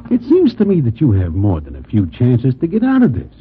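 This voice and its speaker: A man's voice: medium pitch, wildly swooping pitch, fairly quick. An actor playing a genial, wry Broadway guy who tells gangster tales in formal slang, in 1940s radio style. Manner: stiffly formal slang, avoids contractions. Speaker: narrator and lead character. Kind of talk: 1940s radio acting, slangy first-person storytelling. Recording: old 1940s radio recording, thin phone-like sound.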